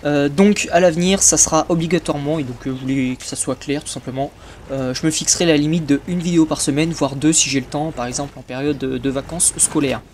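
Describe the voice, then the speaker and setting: A young man with a slightly high voice talks through a casual channel update, stopping often on filler sounds. Faint hiss sits under the voice. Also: fast, darkish tone. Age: young adult. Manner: hesitant, many filler sounds. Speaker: YouTube gaming channel host.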